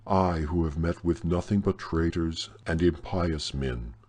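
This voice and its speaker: A man's voice: low-pitched, unhurried, darkish tone. A man voicing an earnest, solemn young Puritan officer torn by doubt, in a storybook reading.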